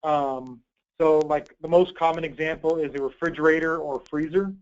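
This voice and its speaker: A man with a fairly high voice leads a conference call, presenting and fielding questions. He is informal and obliging. The audio is dull and low-fi, as on a group call line. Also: fairly even intonation, moderate pace. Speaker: host, grant program coordinator.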